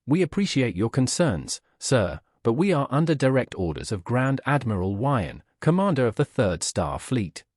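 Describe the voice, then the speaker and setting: A man's voice: medium pitch, very animated, moderate pace, hardly any pauses, a little mellow, no room sound, clean studio sound. A man voicing a steadfast, courteous human warship officer in a story, speaking in formal military phrases. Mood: loyal, defiant. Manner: formal military radio speech.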